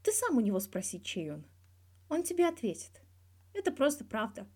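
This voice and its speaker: Woman voicing a wry young male athlete, mellow. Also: a little high, sing-song, moderate pace, voice pushed up. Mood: fond. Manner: guarded yet blunt, dryly teasing, swears freely. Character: candid, wry young male star athlete being interviewed.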